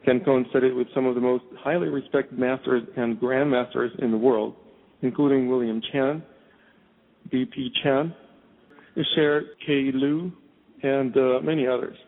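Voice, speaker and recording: A man's voice: medium pitch, fairly even intonation. A male show host reading a formal introduction and interviewing a guest. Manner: measured, formal, stumbles now and then. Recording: tinny phone line.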